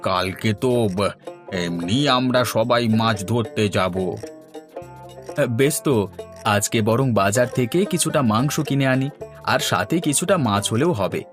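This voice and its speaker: A man's voice: mid-range voice, slow, long pauses, lightly acted. A man playing a genial, hospitable older village father-in-law in a cartoon folk tale, speaking in an indulgent, coaxing way. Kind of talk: Bengali animated folk-tale dialogue. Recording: music under the voice. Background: music.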